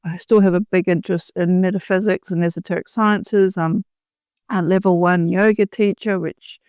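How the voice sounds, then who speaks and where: Smooth middle-aged New woman. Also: lowish voice, fairly even intonation, normal speed, darkish tone, no room sound, studio quality. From New Zealand. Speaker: podcast guest, author. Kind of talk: casual autobiographical interview talk.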